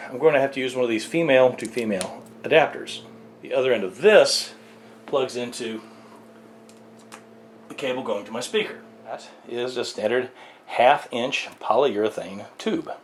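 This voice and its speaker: A man giving a casual hands-on music gear tutorial in a conversational, lightly wry way. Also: medium pitch. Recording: echoey room, faint background noise.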